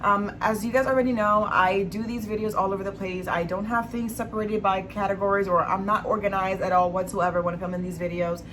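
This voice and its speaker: A young woman with a raspy, hoarse voice, casually chatting through a favorites vlog with lots of enthusiasm. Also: medium pitch, steady tone, brisk, few pauses, background noise. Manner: chatty, enthusiastic, many fillers. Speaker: beauty vlogger.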